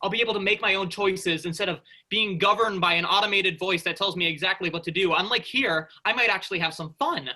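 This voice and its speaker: A man's voice: high-pitched, quick pace, few pauses, sharp tone, exaggerated voice. A man playing a restless, sarcastic space freighter worker, informal and exasperated, in a staged reading. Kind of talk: virtual staged reading of a sci-fi play.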